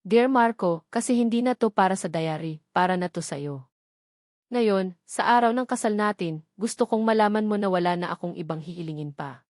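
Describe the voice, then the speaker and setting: A woman's voice: mid-range voice, normal speed, darkish tone, dry recording, clean studio sound, natural voice. A woman with a Filipino accent voicing a tender young bride's heartfelt, earnest love letter in an audio storybook romance.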